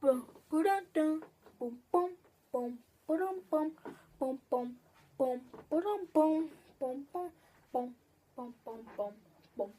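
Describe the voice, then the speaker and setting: High-voiced young woman rambling in a comedy sketch. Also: expressive, warm tone, slight background noise. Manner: rambling, halting, many fillers and restarts. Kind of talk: comedic sketch monologue in character.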